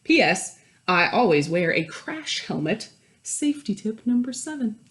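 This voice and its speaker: A woman's voice: mid-range voice, very animated, unhurried. A woman voicing a friendly young schoolchild's polite, simply worded thank-you letters in a storybook. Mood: friendly, earnest. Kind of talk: storybook read-aloud.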